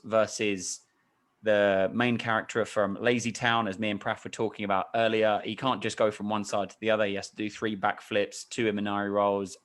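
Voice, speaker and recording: A man with a fairly low voice hosts a sports podcast. He talks conversationally, with a playful, hyped way of setting up each topic. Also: fairly bright tone. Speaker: podcast host.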